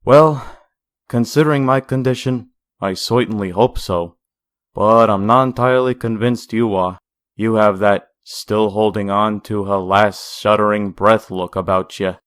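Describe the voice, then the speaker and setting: A man's voice: lowish voice, smooth, dry recording, studio quality, natural voice. A man playing a sardonic dead male villain in a comic dub, wry and mocking. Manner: wry, mocking, drawn-out endearments.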